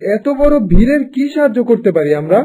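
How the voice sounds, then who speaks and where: A polite, grateful young horse master from a cartoon tale, acted by a man. His voice is very high yet soft-toned and mellow, with lively swings. Faint plucked strings play behind. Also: fast talker, muffled recording, full character voice. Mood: courteous. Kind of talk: cartoon story dubbing.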